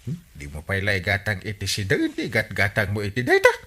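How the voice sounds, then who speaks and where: An actor with an Ilocano accent playing an exasperated village captain who scolds and questions emphatically in a radio comedy drama. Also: male voice, on the low side, wildly swooping pitch, brisk, pushed and bright, breathy edge, exaggerated voice. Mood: scolding.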